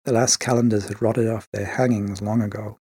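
A man with a slightly husky voice narrating a scripted short story as in an audiobook. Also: on the low side, level tone, fairly quick, dark tone, no room sound.

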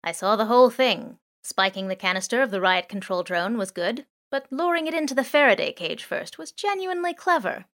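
A chatty male drone with a playful, musing streak and loud, raised delivery, as a woman narrator puts him on. He talks with the hesitations of a real person behind the machine. This comes from a storybook reading. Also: fairly high pitch, lively intonation, voice pushed up. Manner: chatty, musing, natural pauses.

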